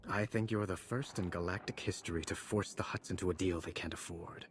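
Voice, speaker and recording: A man's voice: low-pitched, steady tone, brisk, a little hiss or hum, lightly acted. An actor playing a composed male Republic ally giving a mission briefing in a video game. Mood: impressed, businesslike.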